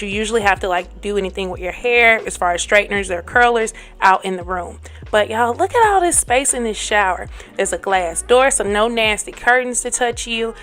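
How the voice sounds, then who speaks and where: A hoarse young woman talks excitedly and chattily to her viewers, calling them y'all. Her pitch sits in a middle range for a woman. Music plays clearly under her voice. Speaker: travel vlogger. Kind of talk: casual travel vlog.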